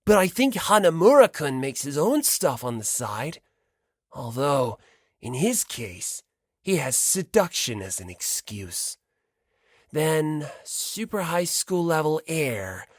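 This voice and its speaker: A man's voice: a little high, no echo, studio quality, heavily acted. A man voicing a cheerful, self-deprecating teenage boy who needles with frivolous, insincere politeness in an audiobook.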